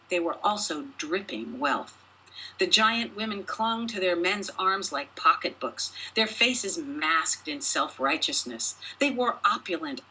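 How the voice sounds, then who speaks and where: Female author-narrator, bright and forceful with a husky edge, expressive storybook read with deliberate phrasing. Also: medium pitch, rough recording. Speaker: author reading own short story.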